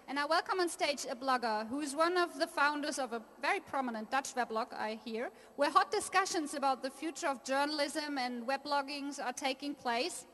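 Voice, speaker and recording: A woman's voice: high voice, pushed and bright. A woman hosting an awards show on stage, announcing guests. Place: large hall. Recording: hall reverb with audience noise.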